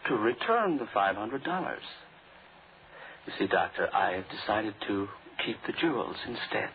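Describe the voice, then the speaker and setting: An actor playing a cool, double-crossing hired killer in a 1940s radio drama, speaking with smug, understated courtesy. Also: male voice, fairly low pitch, very animated, moderate pace. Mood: coolly defiant. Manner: understated, formal, smugly courteous. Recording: tinny, noisy old 1940s radio recording.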